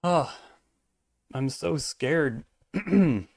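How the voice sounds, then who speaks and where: A man casually commentating his own card game match, thinking aloud in mumbled asides, his voice hoarse and cracking at times. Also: a little high, lively intonation, drawn-out words, darkish tone, no echo, clean studio sound.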